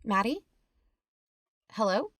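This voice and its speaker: A woman's voice: medium pitch, very animated, takes it slowly, fairly bright tone, dry recording, studio quality, full character voice. A woman acting as a caring, level-headed peacemaker in an audio drama, speaking in a plain, conversational way. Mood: worried, soothing. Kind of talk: scripted audio fiction dialogue.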